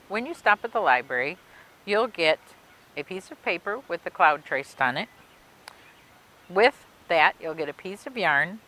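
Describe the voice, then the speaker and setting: A woman reading and explaining things for children in an expressive way, with simple, clear phrasing, like a children's librarian. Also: medium pitch. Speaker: children's librarian reading a story and demonstrating a craft. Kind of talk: read-aloud storytelling and craft instructions for children.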